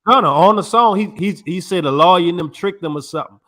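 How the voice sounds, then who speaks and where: A man's voice: high-pitched, quick pace, fairly bright tone. A man co-hosting a podcast, chatting casually in a laid-back, slangy way. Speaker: podcast co-host. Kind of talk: casual chat.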